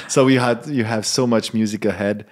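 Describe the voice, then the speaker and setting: A man's voice: steady tone, unhurried. A man in his thirties from Switzerland, a band member talking casually in an interview, earnest and often restarting his sentences. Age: 30s. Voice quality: low-pitched voice. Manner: earnest, searching for words, frequent restarts.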